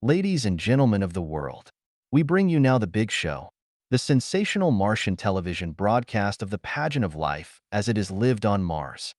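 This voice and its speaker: Pompous, excitable showman boss played by a male narrator, grandiose and swooping, dry pulp audiobook sound. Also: fairly low pitch, moderate pace, no room sound. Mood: grand, solemn. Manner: sonorous, dignified, grandiose announcing.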